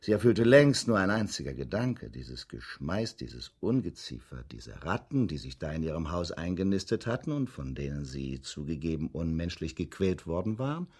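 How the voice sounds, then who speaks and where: A methodical police inspector in a radio play. His voice is low and husky, with strong rises and drops in pitch. He questions deliberately and politely, but with pointed insistence. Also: big pitch swings, darkish tone, exaggerated voice. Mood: grim, emphatic. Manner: deliberate, insistent, theatrically emphatic. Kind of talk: radio drama acting.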